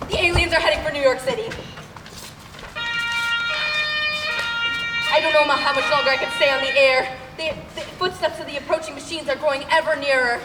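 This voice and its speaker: A woman's voice: high voice, fairly even intonation, quick pace, over loud music, close to an everyday voice. A woman playing a solemn, overwrought radio announcer with grave, dramatic delivery in a stage radio play. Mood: rising alarm. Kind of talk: mock 1930s radio broadcast acting on stage. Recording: distant echoey hall, clear noise.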